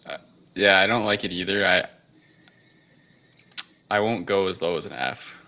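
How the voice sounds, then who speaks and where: Deep, bright-voiced man, tentative. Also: moderate pace. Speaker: panelist on a movie review show. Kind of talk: casual film discussion.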